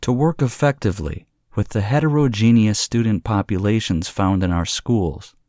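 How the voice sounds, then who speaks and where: Low-voiced man, dry studio-like reading. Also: a little mellow, no room sound. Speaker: narrator. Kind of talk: scripted read-aloud of short exam passages.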